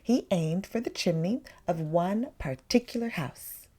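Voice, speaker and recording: A woman narrates a children's picture book as a story time reader. Her pitch moves in lively swings, and she takes her time, leaning dramatically on key words. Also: measured pace. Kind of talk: expressive children's picture-book read-aloud.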